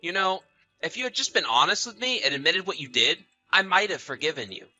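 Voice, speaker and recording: Audio-story role: betrayed husband played by a man, piercingly bright delivery, animated pitch, sarcastic and confrontational. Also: a little fast, faint music behind, exaggerated voice. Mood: angry, accusing. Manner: sarcastic, accusatory. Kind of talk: acted audio story dialogue and narration.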